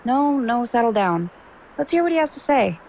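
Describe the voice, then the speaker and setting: A woman playing a brisk, self-assured, take-charge character in an audio drama. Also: fairly high pitch, big pitch swings, heavy background noise. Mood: brisk, composed.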